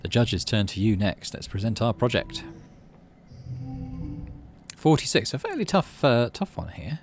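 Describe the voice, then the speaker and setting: A man's voice: medium pitch, very animated, measured pace, soft background music. A man hosting a game playthrough, reading story text aloud and thinking through his moves in a muttering way. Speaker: gaming channel host. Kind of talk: let's play commentary and read-aloud narration.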